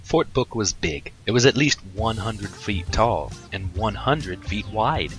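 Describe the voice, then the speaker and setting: A man's voice: on the low side, lively intonation, slow. A man hosting a fan fiction reading, narrating the story expressively. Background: music.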